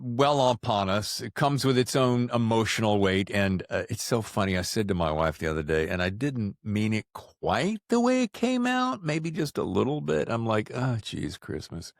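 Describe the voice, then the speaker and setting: Animated male podcast host, lively pitch swings. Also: normal speed, gravelly, hardly any pauses, dry recording, clean studio sound. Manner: animated, rambling, jokey. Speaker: podcast host interviewing an author. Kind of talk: casual conversational interview.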